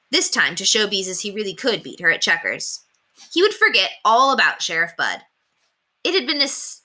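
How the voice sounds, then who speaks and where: A woman reads a children's story aloud in a very bright, crisp-edged voice. Her pitch sits a little low but moves in lively, expressive swings. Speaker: read-aloud narrator. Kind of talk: scripted children's story narration.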